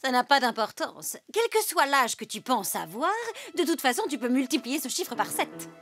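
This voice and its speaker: A blunt, mocking cartoon critter, high-pitched and very animated. The delivery is smug and offhand, with faint music behind. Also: female voice, normal speed. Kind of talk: French animated children's cartoon dialogue.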